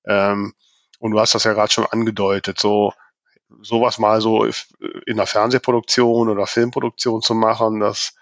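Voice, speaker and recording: A man's voice: on the low side, level tone, normal speed, no echo. A man hosting a podcast in a casual, chatty style. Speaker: podcast host.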